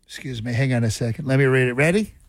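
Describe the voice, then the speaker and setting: A man's voice: medium pitch, fast, close to an everyday voice. A man on radio doing an impression of a boastful president, bragging and throwing insults during a trivia segment. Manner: bragging, superlatives, insulting asides. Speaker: radio show regular.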